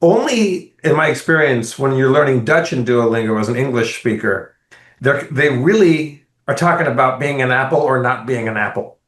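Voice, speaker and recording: Brief: male podcast co-host, mid-pitched, animated and profane storyteller, echoey room. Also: moderate pace. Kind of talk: casual chat, telling a travel story. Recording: roomy echo.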